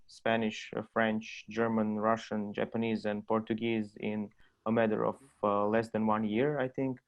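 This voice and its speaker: Low, flat-voiced man on a webinar. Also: muffled recording. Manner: halting, many ums and uhs. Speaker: game localization speaker. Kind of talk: webinar panel talk. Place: video call.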